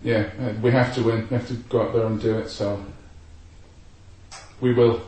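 A man's voice: lowish voice, level tone, moderate pace, warm tone. A man with a slight Scottish accent chatting about football, self-deprecating and laughing at himself. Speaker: podcast pundit. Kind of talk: casual football chat. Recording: very echoey, distant from mic, faint noise.

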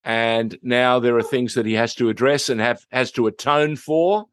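A mature man hosts a chatty TV review in a mid-pitched voice. He leans on phrases and repeats them for emphasis. The sound is dry, with very little room in it. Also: fairly even intonation, moderate pace, a bit bright, dry recording. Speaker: host reviewing TV series. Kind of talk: conversational review chat.

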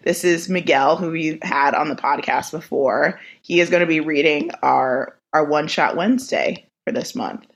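A woman's voice: deep voice, normal speed, vocal fry, hardly any pauses, breathy edge. A woman hosting a podcast in casual, teasing chat over a remote call. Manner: teasing, joking. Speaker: podcast host.

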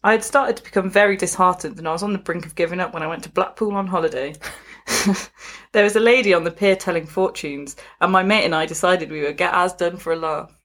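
A woman's voice: on the low side, fast talker, a bit bright. A woman reading a story aloud in a casual, amused way, giggly and breaking off to comment like a podcast host.

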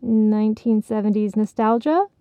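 Woman as a timid, mellow young male gift basket. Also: medium pitch, normal speed, no room sound, lightly acted. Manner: cautious, wondering. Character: timid, confused newly made male coffee gift basket.

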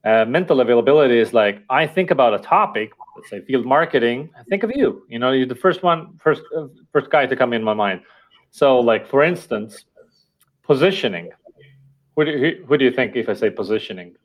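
A man's voice: medium pitch, expressive, a little fast, low-quality recording. A man whose first language is Estonian, a podcast guest speaking thoughtfully with fillers and restarts. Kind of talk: casual interview talk.

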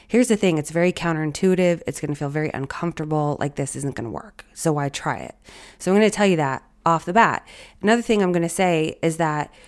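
A woman in her thirties hosting a solo podcast, her voice raspy and hoarse, talking in a reflective, encouraging way straight to her listeners. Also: deep voice, fast. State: hoarse from allergies or illness. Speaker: podcast host.

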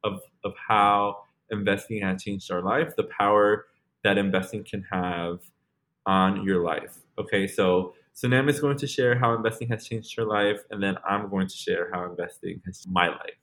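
A man's voice: on the low side, moderate pace, a bit bright. A man in his late twenties co-hosting a podcast, chatting casually and rambling with lots of fillers and restarts. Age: 20s. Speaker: podcast co-host. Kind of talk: casual chat.

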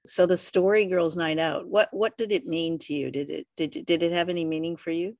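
Woman, interviewer, casual conversation, gravelly vocal fry, halting delivery. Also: lowish voice, fairly even intonation, a little mellow. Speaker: interviewer, podcast creator.

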